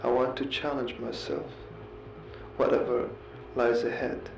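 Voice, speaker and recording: A man giving a heartfelt, confessional voicemail monologue, earnest and reflective, halting as he repeats words and pauses mid-sentence. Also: medium pitch, little pitch movement, gravelly. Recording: roomy echo, music underneath. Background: music.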